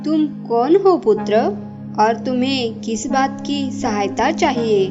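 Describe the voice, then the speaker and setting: High, slow, benevolent magical cave voiced by a woman. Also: close to an everyday voice. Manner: slow, gracious, approving. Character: benevolent magical talking female cave spirit. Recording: dull band-limited sound under loud music.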